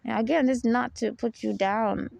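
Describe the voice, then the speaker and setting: A young adult woman hosting a personal podcast, with a low, deep voice and a rambling, confessional way of talking, full of "you know" and soft laughs. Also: steady tone, normal speed, creaky, no echo. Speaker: podcast host.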